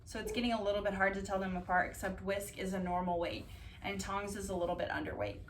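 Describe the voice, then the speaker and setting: A woman doing a casual ASMR-style storytime, reading aloud and drifting into dry, deadpan asides. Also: mid-range voice, quick pace. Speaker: cat vlogger reading bedtime picture books aloud. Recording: distant mic, roomy background noise.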